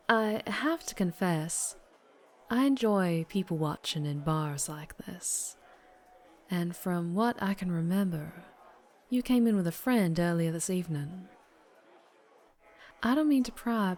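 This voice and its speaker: A woman's voice: medium pitch, a little mellow. A woman playing a flirtatious, doting but fierce vampire biker in an ASMR roleplay, with a Southern US accent and a teasing manner. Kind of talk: ASMR roleplay, intimate character monologue.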